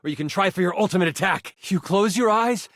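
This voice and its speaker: An excitable male game master in a fantasy video game. He tells the adventure with theatrical flair and expressive asides. The voice sits slightly high and is recorded very dry and close. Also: fast, dry recording. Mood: dramatic, suspenseful. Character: excitable, dramatic male twenty-sided die as game master. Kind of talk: dramatic tabletop fantasy narration.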